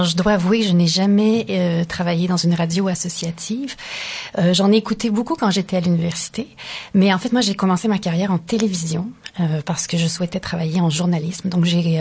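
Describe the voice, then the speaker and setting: Husky Canadian French woman, hesitant. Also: lowish voice, steady tone, a little fast, darkish tone. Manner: thoughtful, many hesitations and restarts. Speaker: radio station director, guest. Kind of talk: radio interview.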